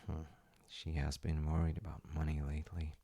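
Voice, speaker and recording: A man playing a polite, eager wizard fiance in an ASMR audio roleplay, with theatrical delivery and soft muttered asides. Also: extremely low pitch, monotone, normal speed. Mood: muttering to himself. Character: eager, secretly magical wizard fiance running an enchantment business.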